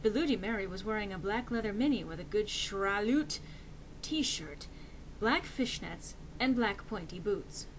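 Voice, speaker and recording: A woman's voice: medium pitch, animated, unhurried, a little hiss or hum, low-quality recording. A woman reading fan fiction aloud as a dramatic, mock-serious narration with theatrical emphasis.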